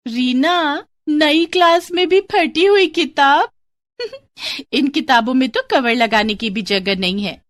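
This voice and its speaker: Woman doing a high, chirpy young schoolgirl. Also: takes it slowly, no room sound, studio quality, exaggerated voice. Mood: mocking. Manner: excitable, chatty. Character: cheerful, teasing young schoolgirl classmates.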